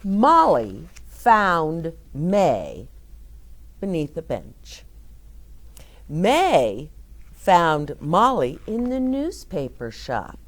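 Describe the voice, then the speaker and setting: A woman reads a children's picture book aloud in an unhurried, very slow way, with a low voice that still swoops up and down a lot. She pauses to explain words and to ask her young listeners gentle questions. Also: sing-song. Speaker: children's storyteller.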